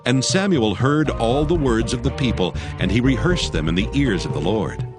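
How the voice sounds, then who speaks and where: A man narrating scripture from a script in a measured storytelling manner. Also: medium pitch, lively intonation, over music.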